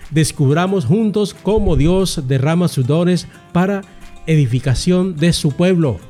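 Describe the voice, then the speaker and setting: A man's voice: on the high side, a little slow. A man presenting a radio marathon and introducing the next speaker. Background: soft guitar music.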